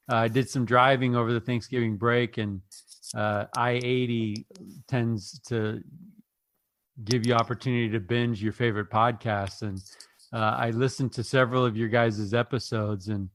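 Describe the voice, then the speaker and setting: A man's voice: medium pitch, a bit bright, no room sound. Earnest man hosting, dry close sound. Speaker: podcast host. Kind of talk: casual group conversation.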